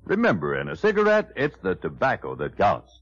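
An American man reading a 1940s radio advertisement as an emphatic, measured announcer. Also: low-pitched, wildly swooping pitch, fairly bright tone, breathy edge. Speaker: commercial announcer. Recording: muffled 1940s radio recording.

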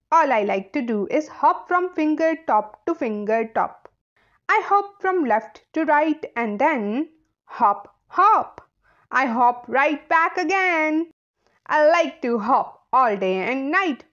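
A woman's voice: high-pitched, a bit bright, highs cut off, heavily acted. A woman voicing a cheerful, carefree little hopping creature from a storybook, in a bouncy sing-song manner.

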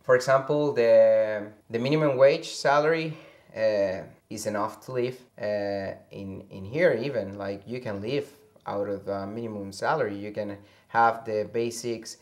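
A young man from Venezuela with a Venezuelan accent, a native Spanish speaker answering interview questions haltingly, searching for words, on a lapel mic indoors. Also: fairly low pitch, fairly even intonation. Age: young adult. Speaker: interview guest. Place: indoor room with a fireplace, seated.

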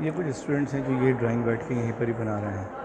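A man in his thirties giving a casual walking tour of a large museum hall, pointing out exhibits in a loose, halting way on a handheld phone. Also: mid-range voice. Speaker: travel vlogger. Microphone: phone held at arm's length with earphone mic. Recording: loud hall background noise.

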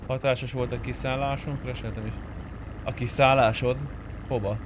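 A man's voice: mid-range voice, fairly even intonation, very noisy. A man talking casually with other players during an online roleplay game. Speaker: gamer in an online roleplay session. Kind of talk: casual gaming chat.

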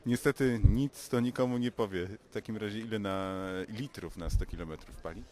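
A man's voice: on the low side, fairly quick, a little mellow. A Polish man working as a radio interviewer, asking concise, inquisitive questions in an informal style.

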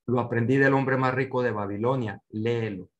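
Older man, medium pitch, finance coach, instructive, direct, short commands, rhetorical questions, online seminar on a computer mic. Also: fairly even intonation, normal speed, lo-fi sound. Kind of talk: online seminar teaching with slides. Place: indoor room at home, on a video call.

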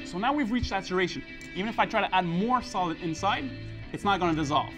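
High-pitched man demonstrating science, music behind. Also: lively intonation, fast. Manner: informal, explanatory. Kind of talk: casual instructional demonstration. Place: indoor school chemistry lab.

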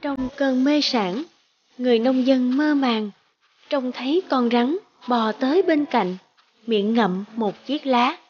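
A woman narrator reading a cartoon folk tale in a measured storytelling manner. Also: high voice, highs cut off. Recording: music under the voice.

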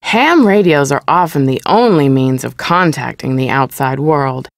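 Low-voiced woman narrating exhibits. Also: expressive, dry recording, studio quality. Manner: measured, formal, explanatory. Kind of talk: scripted educational narration for a video game.

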